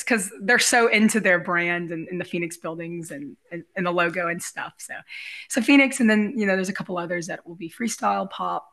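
A woman with a raspy voice chatting casually as an interview guest, in an effusive, giggly, rambling way. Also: fairly low pitch, fairly bright tone. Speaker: guest, realtor and musician.

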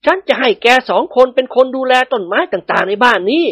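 A woman voicing a stern, easily riled older male nobleman who barks and shouts in bursts. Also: on the high side, a little fast, no echo, highs cut off, pitched above the performer's own voice. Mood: gruff. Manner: gruff barking, shouting in bursts.

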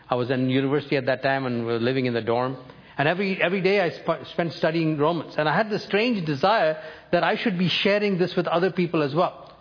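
A man in his seventies, born and raised in North India, preaching a sermon by telling his own life story in a measured, storytelling way. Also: on the high side, dull audio. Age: 70s. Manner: personal storytelling, measured with emphatic lifts.

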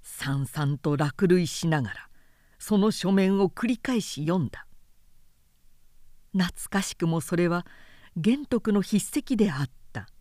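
A woman narrating a historical novel as a scripted recitation, measured and dramatic in delivery. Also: lowish voice, a little mellow, no room sound, studio quality.

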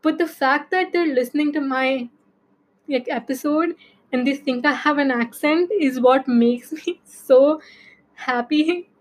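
Young woman with an Indian accent, high voice, podcast host, chatty and rambling, full of fillers. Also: a little slow. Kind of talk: casual solo podcast talk.

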